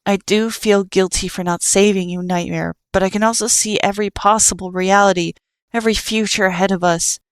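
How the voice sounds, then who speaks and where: A woman voicing a patient, sorrowful child who sees everything and speaks softly and earnestly. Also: mid-range voice, fairly even intonation, a little fast, no room sound, lightly acted. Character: calm all-seeing child, sorrowful and patient.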